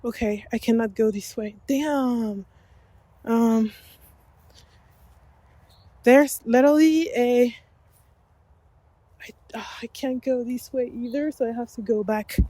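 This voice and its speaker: French lady rambling on her podcast while walking a forest path, kinda high voice, takes her time, dry close sound. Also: takes it slowly, long pauses, mellow, dry recording. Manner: rambling, self-correcting, laughs often. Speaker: podcast host.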